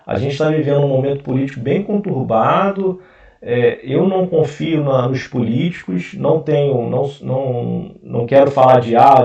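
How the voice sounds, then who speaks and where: A man's voice: medium pitch, gravelly, mellow. A Brazilian man with a Carioca accent, hosting a casual investing video, talking with frequent fillers and restarts. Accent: Carioca Portuguese. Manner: hesitant, many fillers and restarts. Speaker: investment channel host. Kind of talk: casual screen-share explainer. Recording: roomy, distant, dull mic.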